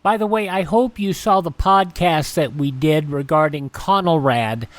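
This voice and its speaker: Casual higher-voiced male radio host. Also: a little slow, no echo. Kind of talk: casual scripted-loose show introduction.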